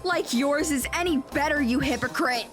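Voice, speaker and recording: A pushy, overprotective teenage schoolgirl acted by a woman in an audio drama. She speaks high and emphatically, haughty and quick to accuse, over clearly heard music. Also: lightly acted. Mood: indignant.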